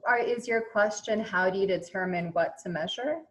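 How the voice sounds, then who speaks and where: A woman speaking as an expert guest in an interview, explaining things in a measured, conversational way. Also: mid-range voice, low-quality recording. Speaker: interview guest, evaluation consultant.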